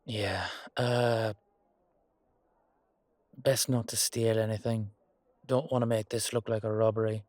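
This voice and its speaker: A man with an Irish accent playing a hot-headed, loyal knight in a roleplay audio drama, gruff and colloquial with a wry streak. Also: fairly low pitch, fairly even intonation, measured pace, pauses often, darkish tone, dry recording, natural voice. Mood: wry, cautious.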